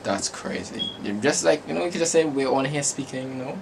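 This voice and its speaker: A man's voice: medium pitch, lively intonation, a little fast, creaky. A young man joking with strangers on a video chat, laughing often. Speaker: vlogger's friend. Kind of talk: casual video chat banter. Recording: very noisy webcam audio.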